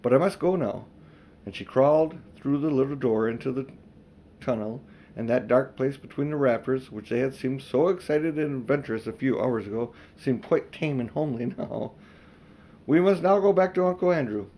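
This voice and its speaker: An older man reading a children's storybook aloud as expressive narration. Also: mid-range voice, normal speed, poor audio. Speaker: audiobook reader.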